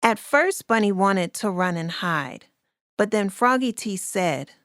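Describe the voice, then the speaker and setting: A woman reading a rhyming children's story aloud in a deliberate, expressive way as its narrator. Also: medium pitch, no echo, clean studio sound.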